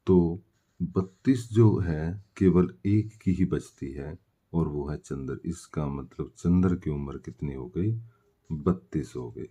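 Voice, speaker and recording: Male tutor, slow and mellow delivery, walking through worked solutions with self-answered questions. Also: rumbling low, breathy edge. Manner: explanatory, poses questions and answers them himself. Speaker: maths teacher. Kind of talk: step-by-step worked-solution lesson.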